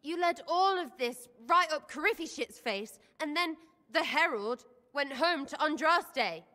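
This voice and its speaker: A young female elf rebel in a fantasy video game, fairly high in pitch with an English accent. She is brash, emotional and irreverent, talking in blunt, cheeky slang. Her voice rings with long hall reverb. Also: expressive, unhurried, pushed and bright, full character voice. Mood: upset, pleading. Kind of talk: video game cutscene acting.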